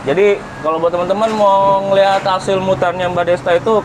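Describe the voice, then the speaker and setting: A man's voice: high-pitched, level tone, fast. Slangy Jakarta man, noisy background. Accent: Jakarta Indonesian. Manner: slangy, emphatic, echoes the other speaker. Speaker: vlogger guest recommending a service. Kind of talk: casual chat, recommending to viewers.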